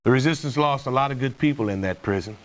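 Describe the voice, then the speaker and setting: An actor playing a stern middle-aged military commander, giving a formal, measured briefing in a video game. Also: male voice, medium pitch, natural voice, rough recording. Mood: stern, businesslike.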